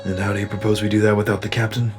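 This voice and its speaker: Man playing an earnest, lowish-voiced soldier. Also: little pitch movement, rapid-fire, soft background music, natural voice. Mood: downcast. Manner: casual, plain-spoken. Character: earnest, troubled male soldier, reluctant squad leader. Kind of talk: sci-fi audio drama acting.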